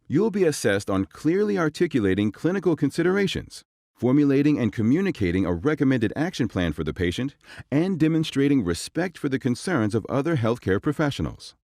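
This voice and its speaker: Man, instructional narrator, scripted delivery, lively intonation, dry studio-like sound. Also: medium pitch, no echo. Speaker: narrator of exam explainer.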